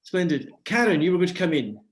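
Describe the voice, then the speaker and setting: A man moderating a webinar panel over a video call, passing the floor and reading out audience questions in a brisk, businesslike way. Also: high voice, very animated.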